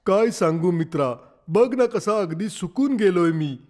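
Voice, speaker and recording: A woman's voice: fairly low pitch, lively intonation, unhurried, darkish tone, voice pushed down. A woman voicing a thin, gullible male farm donkey who speaks in a plaintive, trusting way. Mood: complaining.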